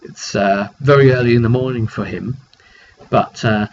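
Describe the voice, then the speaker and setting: A man casually telling the story of a tabletop roleplay session as game master, in an animated way with repetitions and slang. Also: medium pitch, moderate pace. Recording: dull lo-fi mic with background noise.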